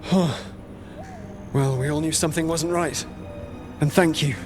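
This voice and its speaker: Man playing a plain-spoken villager, higher voice. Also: steady tone, a little slow, natural voice. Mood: relieved, grateful. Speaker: video game voice actor. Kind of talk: dramatic video game cutscene acting. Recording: faint music behind the voice.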